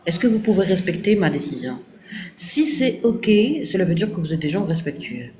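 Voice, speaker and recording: A woman's voice: on the low side, very animated, moderate pace, darkish tone. A woman speaking French with an Arabic accent, Arabic as her first language, answering viewers in a firm, didactic live stream and checking agreement with "ok?". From Morocco. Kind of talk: casual live-stream talk.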